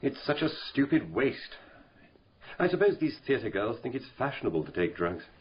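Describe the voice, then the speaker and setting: Radio drama doctor, male: brisk and dismissive, husky, animated mid-range voice, muffled sound. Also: medium pitch. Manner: blunt, matter-of-fact, clipped opinions.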